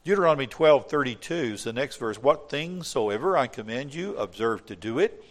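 Male pastor, emphatic sermon delivery, measured scripture reading. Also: medium pitch, animated. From from outside Australia. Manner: emphatic, repeats words when stressing a point; reads scripture aloud in measured tones.

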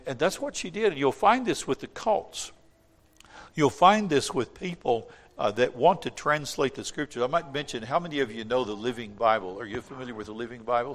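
A man preaching a sermon to his congregation, emphatic and repeating words when stressing a point, reading scripture aloud in measured tones. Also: mid-range voice, a bit bright. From from outside Australia. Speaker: pastor.

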